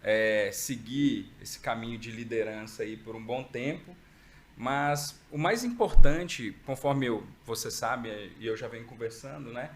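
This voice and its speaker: A middle-aged man with a Minas Gerais accent, a podcast guest telling stories in a relaxed, reflective way. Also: mid-range voice, measured pace, slight background noise. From Brazil, raised in Minas Gerais. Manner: relaxed, reflective storytelling with frequent "né?". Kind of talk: interview conversation.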